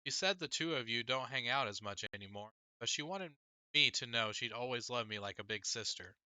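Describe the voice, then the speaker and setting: Sullen young schoolboy, played by a male narrator: defiant, curt, dismissive, sharp bright tone, dry recording. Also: mid-range voice, animated, a little fast, clean tone, dry recording. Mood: pointed. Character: stubborn, sullen young schoolboy, a little brother. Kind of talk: audiobook character dialogue.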